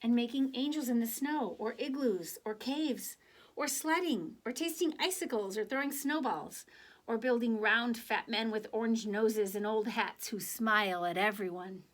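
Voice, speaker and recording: Female children's story reader, voice a little high, gentle and expressive, talks directly to kids. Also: moderate pace. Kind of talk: picture book read aloud, then informal reflection to children.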